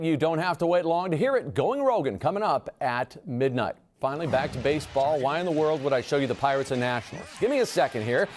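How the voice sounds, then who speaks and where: High-pitched American man anchoring sports highlights. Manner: punchy, playful delivery. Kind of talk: lively scripted sportscast narration over highlights.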